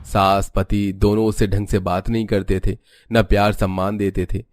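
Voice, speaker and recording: A man's voice: fairly low pitch, flat delivery, normal speed, dry recording. A man narrating a scripted cartoon story.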